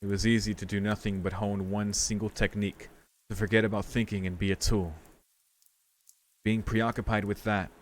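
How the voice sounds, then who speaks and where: A man's voice: on the low side, flat delivery, moderate pace. A man streaming a visual novel, reading its narration aloud with casual commentary, with rain sounds from the game behind him.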